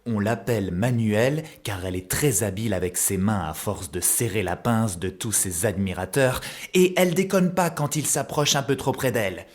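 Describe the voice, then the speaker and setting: A man narrating an animated show for children in a mock-formal storytelling manner. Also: lowish voice, moderate pace. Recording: roomy echo, music behind. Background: music.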